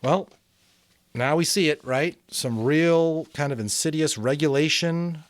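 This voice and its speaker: A man giving a dramatic, expressive amateur audiobook reading with casual commentary. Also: a little high, a little slow, no echo.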